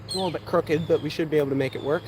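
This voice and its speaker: A man's voice: on the high side, steady tone, very fast. An eighteen-year-old man with a Pennsylvania accent, chatting casually on a livestream and answering viewer questions while he shoots archery outdoors. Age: young adult. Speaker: outdoors channel host. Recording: faint outdoor background noise.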